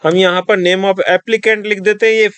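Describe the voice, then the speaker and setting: A man with a high, clear voice walks the listener through an online application one step at a time. He keeps it instructive and calls his viewers friends. The sound is dry and close, recorded at a desk mic in a quiet room. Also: flat delivery, measured pace, no echo. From Rajasthan. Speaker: tutorial presenter. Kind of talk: screen-recorded tutorial voice-over.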